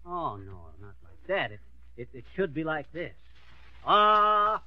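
An actor playing a folksy, boastful middle-aged schemer with an American accent and a slangy, bossy manner, in old radio comedy style. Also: male voice, medium pitch, big pitch swings, moderate pace. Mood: coaching, bossy. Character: folksy, boastful middle-aged tall-tale schemer running an acting school. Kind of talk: 1930s-40s radio comedy acting. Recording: dull lo-fi old radio recording.

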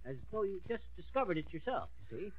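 An actor playing a folksy, boastful middle-aged schemer with an American accent and a slangy, bossy manner, in old radio comedy style. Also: male voice, sits high, very animated, fast talker. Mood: coaching, bossy. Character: folksy, boastful middle-aged tall-tale schemer running an acting school. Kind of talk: 1930s-40s radio comedy acting. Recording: dull lo-fi old radio recording.

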